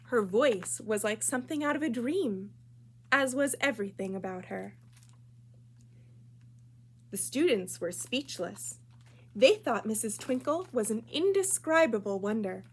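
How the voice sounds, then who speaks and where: A woman reading a children's storybook aloud at library storytime, expressive and clearly phrased for young listeners. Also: medium pitch.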